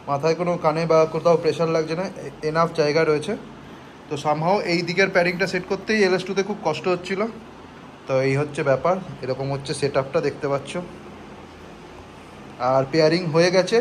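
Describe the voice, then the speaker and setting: Male vlogger, slightly high voice, very brisk explanatory delivery, casual. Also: a little hiss or hum. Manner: explanatory, mixes in English words. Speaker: motorcycle vlogger. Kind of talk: casual product demo vlog.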